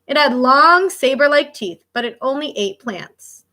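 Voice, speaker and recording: A woman with a high, bright voice reads a children's fact book aloud as a schoolteacher would. Her intonation swings widely, and she speaks directly to young listeners, stepping out of the text for short casual comments. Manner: expressive, child-directed, pausing to react and explain. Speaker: elementary school teacher reading a picture book.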